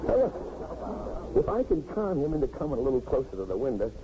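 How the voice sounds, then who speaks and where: An actor playing a plain-spoken, kindly American traffic cop with a coaxing, colloquial manner in a 1950s radio drama. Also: male voice, mid-range voice, very animated, fast, natural voice. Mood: tense, businesslike. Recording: muffled, lightly noisy 1950s radio broadcast.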